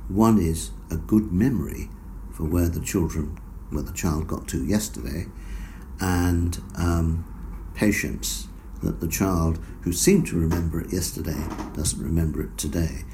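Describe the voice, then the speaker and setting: A man answering interview questions about his research in a measured, explanatory way, with frequent fillers. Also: low-pitched, warm tone, slight background noise, low-quality recording. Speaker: researcher guest.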